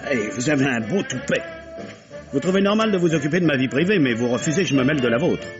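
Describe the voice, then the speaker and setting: A man acts a pompous intellectual with a medium-pitched voice. He is indignant and drily sarcastic, precise in old radio drama style, in a dull recording with music behind. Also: expressive, fast, lightly acted. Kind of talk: vintage French radio drama acting.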